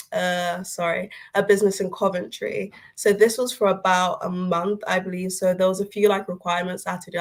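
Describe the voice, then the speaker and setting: A young woman from Nigeria, an intern, giving an informal, chatty talk to teachers with plenty of fillers. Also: fairly low pitch. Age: young adult. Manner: chatty, candid, filler-laden. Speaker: intern presenter. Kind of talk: informal presentation to educators.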